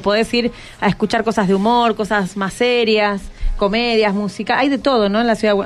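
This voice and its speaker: Higher-pitched Argentine woman interviewer. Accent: Buenos Aires Argentine. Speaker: radio interviewer. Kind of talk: casual interview.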